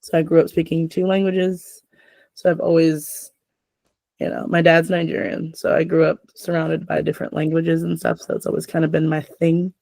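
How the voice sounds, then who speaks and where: A woman's voice: low voice, flat delivery, normal speed, vocal fry, warm tone, dry recording. A young adult woman hosting a casual chat, rambling with lots of filler words.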